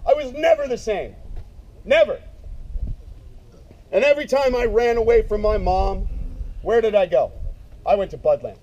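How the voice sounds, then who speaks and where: A man speaking loudly and emphatically in a casual way, giving a eulogy at a memorial gathering. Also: sits high, expressive, normal speed, pauses often. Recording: dull lo-fi sound, faint noise.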